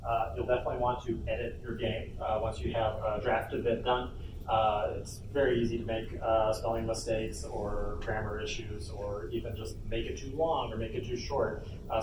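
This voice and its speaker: A man giving an informal instructional talk to an audience as a workshop presenter at a convention panel. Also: mid-range voice. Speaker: workshop presenter, game developer. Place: indoor convention panel room. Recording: roomy echo, distant mic, noisy convention room.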